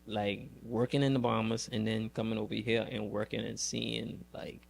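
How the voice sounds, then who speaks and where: Guy podcast host, gravelly fry in his voice, kinda halting, chatty. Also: fairly low pitch, flat delivery. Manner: halting, repeats words while forming questions. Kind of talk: casual interview chat.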